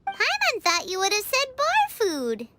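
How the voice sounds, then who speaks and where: A woman voicing a tiny, excitable girl companion in a video game, who talks about herself in the third person. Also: very high voice, a little slow, very noisy, exaggerated voice. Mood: chirpy.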